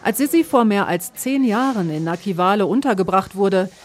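Lady narrating a radio documentary, calm measured reading. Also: mid-range voice. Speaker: reporter narrator.

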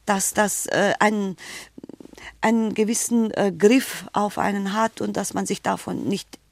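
A woman's voice: medium pitch, creaky, no echo. A middle-aged woman from Romania with a Romanian-German accent and a rolled R, answering interview questions in a hesitant, thoughtful way with many fillers. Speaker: interview guest, writer.

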